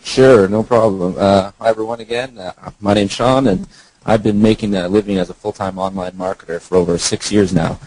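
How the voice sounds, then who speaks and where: Low, husky-voiced man presenting by phone. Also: fairly even intonation, moderate pace, a little mellow, dry recording, poor audio. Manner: informal, explanatory. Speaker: online marketer, company co-founder. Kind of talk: conference call presentation. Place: phone conference call.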